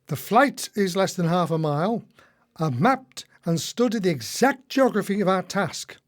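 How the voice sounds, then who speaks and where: A woman's voice: fairly low pitch, expressive, moderate pace, lightly acted. A woman playing an eager elderly co-conspirator in a radio play, with a grandiose, admiring manner. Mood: proud, determined.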